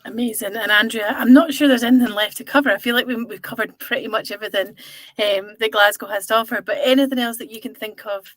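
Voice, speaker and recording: Female moderator, mid-pitch, dry close sound, brisk and encouraging. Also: steady tone, a bit bright, no room sound. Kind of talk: hosting a live Q&A panel.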